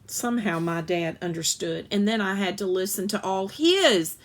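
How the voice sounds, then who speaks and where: Female reader, children's fiction read-aloud. Expressive storytelling delivery, medium pitch, natural small slips. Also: moderate pace. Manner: expressive, acts out dialogue, occasional stumbles and self-corrections.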